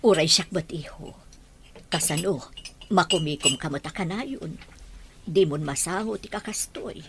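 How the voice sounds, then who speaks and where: A woman's voice: deep voice, a little slow, noisy background. An actress playing a worried, protective middle-aged mother in an Ilocano radio drama. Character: anxious, protective mother of a grown son.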